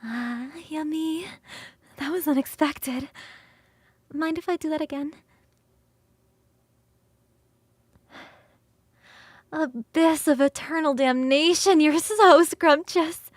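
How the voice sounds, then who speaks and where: A woman voicing a playful, hungry and affectionate succubus roommate in an ASMR roleplay, coaxing and teasing with sighs and soft, breathy turns. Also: high voice, no echo. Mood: delighted, teasing. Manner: coaxing, sighing, drawn-out savouring, shifting into soft whispers.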